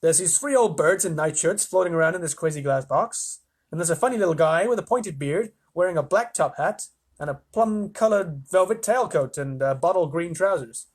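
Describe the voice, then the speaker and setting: A cocky astronaut from a children's storybook, as a man reads him aloud. He is blunt, slangy and excitable, in a high, lively voice. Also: moderate pace, husky. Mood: excited. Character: excitable, cheeky male astronaut Shanks reporting by radio.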